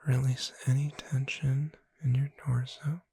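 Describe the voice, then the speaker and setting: A woman playing a gentle witch bookseller in a breathy, soothing, whispered ASMR sleep roleplay. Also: extremely low pitch, little pitch movement, exaggerated voice. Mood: calm, soothing. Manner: slow, soothing, deliberate. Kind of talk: soft-spoken ASMR sleep roleplay, guided relaxation.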